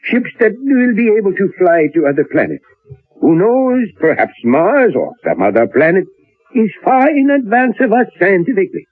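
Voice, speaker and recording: An actor playing an elderly, learned professor in an old-time radio drama, speaking in a deliberate, musing way with theatrical hesitations. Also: male voice, sits high, wildly swooping pitch, slightly rough, full character voice. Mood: musing, excited. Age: older. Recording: old radio recording, thin narrow band, roomy.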